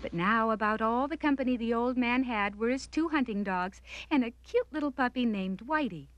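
A woman's voice: fairly high pitch, normal speed, dry recording, lo-fi sound. A woman narrating a scripted children's cartoon story.

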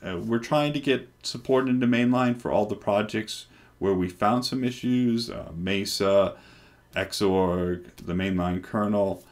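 A man in his forties on a headset mic, casually talking through a software install with many ums and restarts. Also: low-pitched. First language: English. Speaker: developer presenting hardware. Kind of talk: casual screen-recorded tech demo. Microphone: headset microphone.

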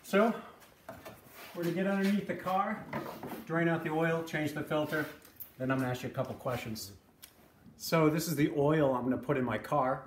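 A young man, a science teacher, explaining car maintenance to his students in a relaxed, chatty way with plenty of ums, filmed on a phone in his home garage. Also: on the high side, breathy edge. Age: young adult. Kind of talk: casual how-to lesson video. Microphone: phone camera held close.

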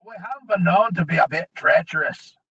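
A man's voice: high-pitched, moderate pace, gravelly, a bit bright, a little hiss or hum, voice pushed up. A man reading an audiobook, voicing a nervous, ingratiating male dwarf thief. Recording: dull lo-fi recording.

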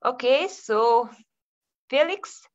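A woman hosting a club meeting over a video call, posing questions and repeating them in an unhurried way. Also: on the high side, lively intonation, muffled recording. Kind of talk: meeting moderation.

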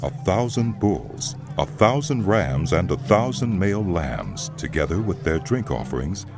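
Male narrator, scripture audiobook: low-pitched, stately, richly varied intonation, music clearly underneath. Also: big pitch swings, a little slow.

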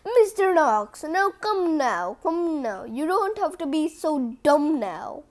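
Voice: sits high, very animated, slow, heavily acted. A reader voicing a pushy, teasing male fox from a storybook in an insistent, coaxing way.

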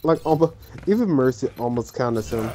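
A woman's voice: very deep voice, animated, brisk, dark tone. A woman playing a video game, giving chatty, teasing running commentary to teammates in a casual way. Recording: faint gunfire and game noise behind. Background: shooter game effects.

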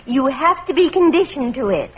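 Very high-voiced, sensible radio housewife. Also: female voice, expressive, normal speed. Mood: gently worried. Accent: American. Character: sensible, gently worried young housewife and mother. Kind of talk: 1940s radio comedy acting. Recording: muffled old radio recording with audience.

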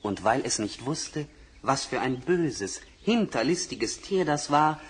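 A man narrating a fairy tale for an old record, measured and expressive. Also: medium pitch, breathy edge. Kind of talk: storybook narration. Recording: vinyl record, faint hiss.

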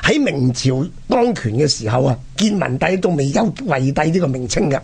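A man with a Hong Kong Cantonese accent and a husky, gravelly voice, telling history conversationally and dramatically as a radio presenter. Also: a little high, very animated, moderate pace, darkish tone. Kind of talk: animated conversational history storytelling.

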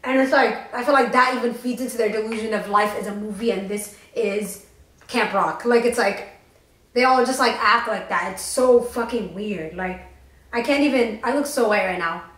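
A young woman co-hosting a podcast, telling a story fast and with lots of swearing while doing her makeup on a couch. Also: medium pitch, reverberant, rough recording. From Tennessee. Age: young adult. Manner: fast, profane, animated storytelling. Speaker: podcast co-host. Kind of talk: casual storytime chat. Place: living room, on a green couch.

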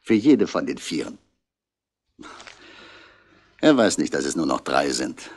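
An actor playing a calm French police commissioner with measured, direct questions in a 1960s German radio crime drama. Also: male voice, very deep voice, heavily acted. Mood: dry, reflective.

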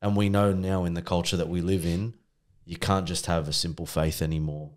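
A man co-hosting a podcast, speaking in a measured, earnest and reflective way. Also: deep voice, little pitch movement. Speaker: podcast co-host. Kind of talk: casual conversation.

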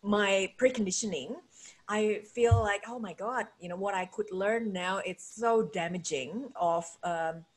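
A woman's voice: mid-range voice, unhurried, rough recording. A woman from Indonesia hosting an interview over a video call from home, speaking hesitantly with many fillers. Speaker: host, relationship coach. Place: home room. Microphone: video call, laptop camera.

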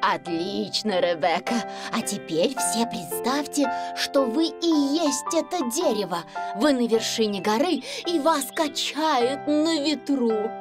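A woman's voice: a little high, lively intonation, slow, loud music behind the voice. A woman voicing a kindly gazelle nursery teacher in a dubbed children's cartoon, encouraging and carefully enunciated. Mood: gentle encouragement.

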